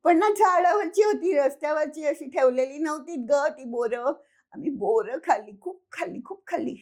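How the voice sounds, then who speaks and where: A woman voicing a guilty schoolgirl with a bellyache, pleading in a drawn-out whine for a children's story. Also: sits high, warm tone.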